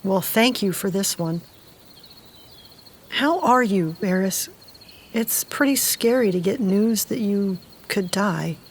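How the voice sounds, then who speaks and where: A woman's voice: medium pitch, expressive, slow pace, long pauses. Actress for relatable, wry human woman in audio drama. Middle register, loose conversational phrasing. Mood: wry, surprised. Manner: informal, wry.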